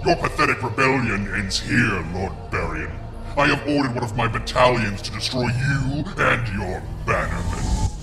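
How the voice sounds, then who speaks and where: An actor playing a scornful, commanding villain warlord in a medieval fantasy game. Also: male voice, medium pitch, lively intonation, normal speed, loud music behind the voice, lightly acted. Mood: scornful, menacing.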